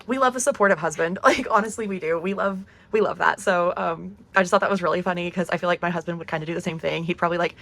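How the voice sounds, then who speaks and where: A woman in her thirties, hoarse from losing her voice, with a gravelly, low-pitched tone. She chats upbeat and friendly to camera, laughing often as she talks through her budget. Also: fast, few pauses, fairly bright tone. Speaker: cash budgeting vlogger. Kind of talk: casual chat to camera.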